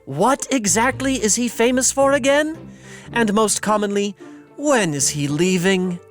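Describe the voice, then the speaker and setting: An actor playing a wry, arch narrator, reading scripted narration for an audio drama. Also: male voice, high-pitched, unhurried, faint music behind.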